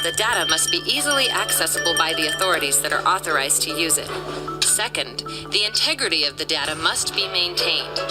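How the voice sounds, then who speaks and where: Woman, explainer narration, formal, even, lowish voice, loud background music. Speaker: video narrator.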